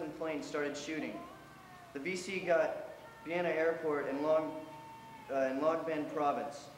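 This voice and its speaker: A man's voice: a little high, lightly acted. A man performing a stage reading as an earnest young American lieutenant writing to his sweetheart. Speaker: readers theater performer. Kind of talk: dramatic reading of war letters. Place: college theater stage. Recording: echoey hall from afar, noisy.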